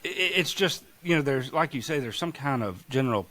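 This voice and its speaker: A man's voice: medium pitch, animated, a little fast, pushed and bright. A man from Mississippi with a Southern accent, chatting casually as a farmer guest on a podcast, folksy and self-deprecating. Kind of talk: casual chat.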